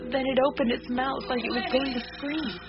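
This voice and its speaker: A woman's voice: high voice, fairly even intonation, fairly quick, over loud music, natural voice. An actress playing a defiant, skeptical young woman in a radio drama, with a blunt, exasperated, sardonic manner. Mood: recounting uneasily. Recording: muffled radio recording with clicks and hiss.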